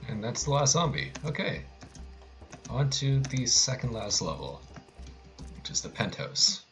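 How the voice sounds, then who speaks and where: A man's voice: medium pitch, very animated, unhurried. A man giving casual, wry live commentary on a video game speedrun, talking to his viewers. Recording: game music behind.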